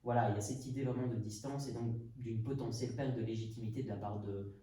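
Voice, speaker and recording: A man's voice: mid-range voice, fairly even intonation, fast, warm tone. A man from Portugal hosting a podcast conversation, thinking aloud and hesitating often. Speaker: podcast host. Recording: echoey room, mic at a distance, faint noise.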